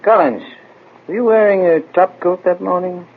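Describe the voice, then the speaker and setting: An actor playing a commanding, blunt police inspector interrogating people in a 1940s radio drama. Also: male voice, high-pitched, moderate pace. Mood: pressing. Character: brusque, commanding police inspector, a grown detective's father. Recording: very noisy, tinny old radio broadcast.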